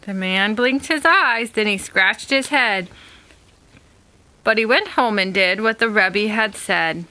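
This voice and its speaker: A woman reading a rhyming storybook aloud as narrator, in a deliberate, expressive way. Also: medium pitch, poor audio.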